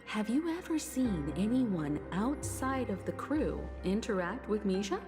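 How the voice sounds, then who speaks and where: A poised, perceptive woman from a video game explains with deliberate care and a lively melodic range. The voice rings in a long reverb over faint music. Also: medium pitch. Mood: knowing, composed.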